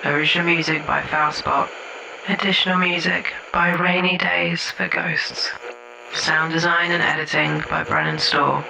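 Slow, gravelly male credits reader. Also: a little high, little pitch movement, noisy background. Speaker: producer reading credits. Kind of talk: read credits.

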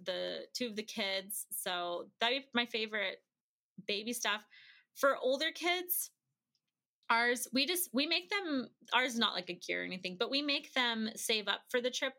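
A woman's voice: mid-range voice, moderate pace, sharp tone. A woman speaking as an interview guest, sharing tips and anecdotes in a casual, conversational way. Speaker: interview guest, traveling mother.

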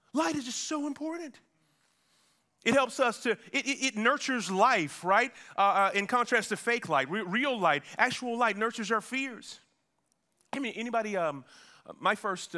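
A man preaching a sermon in an animated, conversational way, with dramatic pauses. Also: extremely high pitch. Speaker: pastor.

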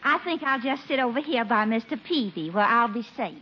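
A woman's voice: fairly high pitch, lively intonation, fairly quick, natural voice. An actress playing a sweet, coquettish young lady in a 1940s radio comedy, genteel and gushing. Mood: sweet, coy. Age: young adult. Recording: noisy muffled old radio recording, party chatter.

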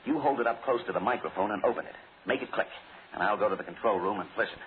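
Actor as impatient low-voiced radio director. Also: male voice, fast talker, natural voice. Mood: bossy. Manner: hesitant, impatient, bossy. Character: exasperated, brisk radio show director. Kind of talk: 1940s radio acting. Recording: scratchy, tinny 1940s radio recording.

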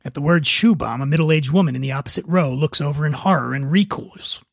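A man's voice: fairly high pitch, fairly quick, gravelly, dry recording, studio quality. A man hosting a podcast, talking casually and narrating a script. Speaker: podcast host and writer.

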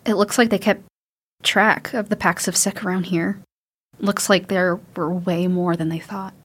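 A woman's voice: on the low side, fairly even intonation, a little slow, slightly rough. A woman playing a watchful survivor daughter in a post-apocalyptic audio drama. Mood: uneasy. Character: watchful daughter surviving alongside her father.

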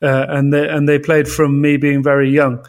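A man on a casual podcast, speaking in a measured, analytical way with frequent pauses and restarts. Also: on the high side, level tone. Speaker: podcast co-host.

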